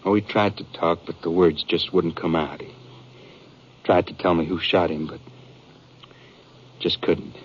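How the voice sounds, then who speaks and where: A muffled old radio drama recording presents a frightened American ranch man on the run. His voice is on the low side, rustic and halting, and he sounds earnest and aggrieved in classic western style. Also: steady tone, a little hiss or hum. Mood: grieving, bitter. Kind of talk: 1950s radio drama acting.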